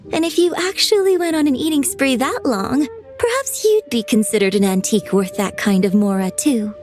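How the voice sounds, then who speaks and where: A poised, courteous young writer. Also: female voice, sits high, animated, moderate pace, over music. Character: gracious young female opera writer, polite. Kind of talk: game cutscene voice acting.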